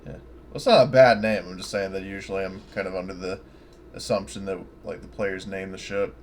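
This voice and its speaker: Male tabletop player, lower-pitched voice, casual and tentative, thinking aloud, noisy recording. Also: level tone, brisk. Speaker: player in a tabletop roleplaying game. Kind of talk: casual game-table chat. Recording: clear background noise.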